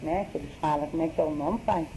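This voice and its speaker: A woman's voice: low-pitched, rapid-fire, noisy background. A middle-aged woman telling family stories in a casual oral history interview, hedging and correcting herself as she recalls. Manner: animated storyteller, hedging and self-correcting. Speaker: interviewee, daughter of the older man.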